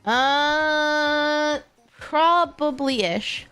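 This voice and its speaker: A young woman chats with her stream audience in an easy, encouraging way. Her nose sounds stuffy and congested, and she laughs often. Faint music plays softly underneath. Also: high voice, very slow. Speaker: VTuber streamer. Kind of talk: casual livestream chat, reading chat.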